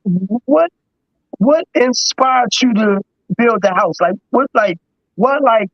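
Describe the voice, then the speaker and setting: A man's voice: very high voice, expressive, slow, dry recording, lo-fi sound. A man in his fifties asking questions on a video call, hesitant and restarting his sentences. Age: 50s. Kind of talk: casual live-stream chat.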